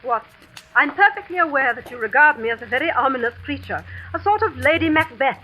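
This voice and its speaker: An actress playing a cold, domineering schoolmistress and sham clairvoyant in an old radio suspense drama, speaking with imperious, theatrical precision. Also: female voice, high voice, lively intonation, brisk, pushed and bright, slight background noise, low-quality recording. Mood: cold, commanding. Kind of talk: 1940s-50s radio suspense acting. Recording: vintage radio recording with music.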